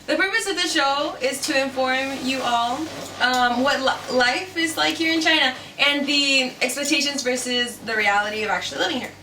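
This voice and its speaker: A woman's voice: high voice, moderate pace, sharp tone, roomy sound, distant mic, slight background noise, rough recording. A woman from Mexico co-hosting a vlog, chatting casually with many "like" fillers. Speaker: co-host. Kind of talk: casual chat.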